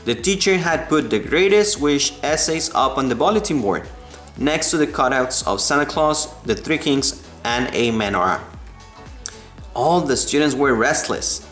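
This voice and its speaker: A man reading a children's storybook aloud in an expressive, deliberate way. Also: medium pitch, soft background music. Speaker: read-aloud narrator.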